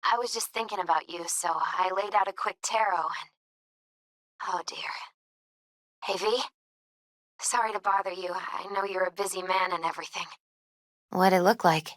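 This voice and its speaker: A woman playing a gentle, earnest young tarot reader in a video game, with thoughtful, hesitant phrasing. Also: medium pitch, steady tone, normal speed, pauses often, no room sound, natural voice. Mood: concerned. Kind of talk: naturalistic video game dialogue acting.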